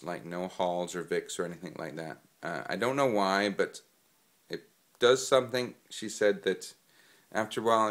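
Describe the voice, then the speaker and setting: A man with a head cold, stuffed up, giving casual singing tips and rambling with plenty of ums. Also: sits low. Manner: rambling with many fillers. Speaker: singing vlogger. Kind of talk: casual spoken tips to camera.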